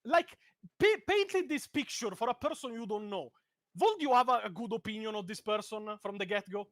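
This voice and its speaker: A man's voice: high voice, expressive, fairly quick, a bit bright, no echo, studio quality. A man from Italy with a strong Italian accent, talking bluntly and with profanity in a heated call. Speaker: guest commentator. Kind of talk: heated casual video-call chat. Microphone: video call.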